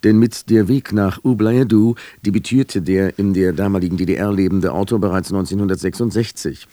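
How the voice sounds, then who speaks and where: A man with a low, dark and relaxed voice introduces a radio programme. He reads a script in a measured, formal way, recorded very dry in a studio. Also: no echo. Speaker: radio announcer.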